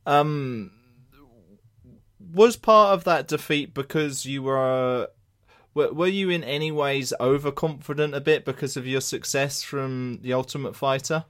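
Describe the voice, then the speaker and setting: A man's voice: mid-range voice, unhurried, fairly bright tone. A man interviewing a guest, hesitating and repeating words as he forms his questions.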